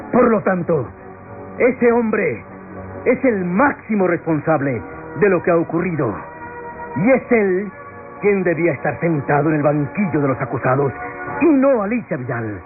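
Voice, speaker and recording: An actor playing an impassioned defense lawyer in a classic Latin American radionovela, declaiming solemnly with rhetorical pauses. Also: male voice, a little high. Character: impassioned male defense lawyer pleading in court. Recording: tinny vintage radio drama, music behind.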